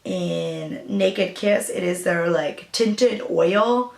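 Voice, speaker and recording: A young woman with a raspy voice, chatting casually through a makeup tutorial as a new beauty vlogger. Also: on the low side, extremely slow, reverberant. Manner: chatty, hesitant, with fillers and apologies.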